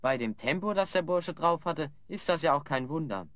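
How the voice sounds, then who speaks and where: A man's voice: a little high, lively intonation, moderate pace, fairly bright tone. A man playing a bold, clever young adventurer with a wry, decisive manner in a German audio play. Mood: confident.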